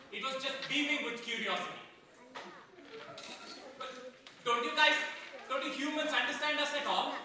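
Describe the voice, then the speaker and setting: A man's voice: very high-pitched, sharp tone, pitched above the performer's own voice, heavily acted. A man performing a stage monologue as a proud, aggrieved Rottweiler, theatrical and self-justifying. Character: proud, aggrieved Rottweiler dog pleading his case. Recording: roomy, distant mic, faint noise.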